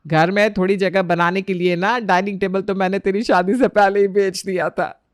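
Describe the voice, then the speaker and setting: A woman's voice: medium pitch, flat delivery, normal speed, dry recording, studio quality, natural voice. A woman voicing a fussy, worried middle-aged Indian mother-in-law in a story, with emphatic, chiding delivery. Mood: bossy reassurance.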